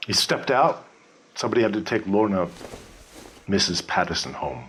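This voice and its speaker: A man's voice: deep voice, sing-song, normal speed, fairly bright tone, slight background noise. A man playing a guarded, working-class bar manager in a 1940s crime game, with a dry, offhand, evasive manner. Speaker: bartender. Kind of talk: scripted video game dialogue, 1940s crime drama.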